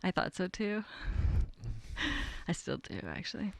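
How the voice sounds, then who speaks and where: A woman's voice: fairly low pitch, sing-song, takes it slowly, vocal fry, dry recording. A woman hosting a podcast interview, asking curious follow-up questions. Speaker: podcast host. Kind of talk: casual interview.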